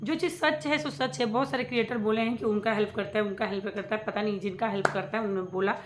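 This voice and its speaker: A woman's voice: medium pitch, fairly even intonation, fast talker, noisy background. A woman, talking casually and emphatically to viewers in an opinionated reaction vlog, repeating points and mixing in English words. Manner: emphatic, repetitive, direct address with English words mixed in. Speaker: reaction video creator addressing viewers.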